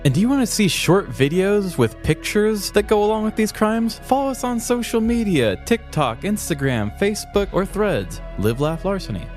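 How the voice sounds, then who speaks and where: A man's voice: sits high, sing-song, normal speed, hardly any pauses, faint music behind. An American man co-hosting a podcast, chatting in an informal, wry, joking way. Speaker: podcast co-host. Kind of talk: casual comedic true-crime chat.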